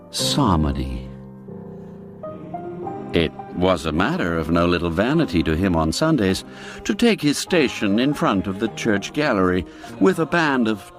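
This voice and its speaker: A man narrating a classic tale in a scripted storytelling style, with an expressive, lilting delivery. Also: lowish voice, no room sound, studio quality.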